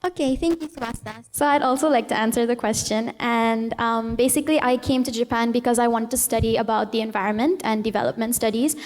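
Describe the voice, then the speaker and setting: A woman's voice: fairly high pitch, brisk, hardly any pauses, big-room sound. A young woman from Trinidad and Tobago, a student moderating a panel and asking upbeat questions. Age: young adult. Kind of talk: panel discussion.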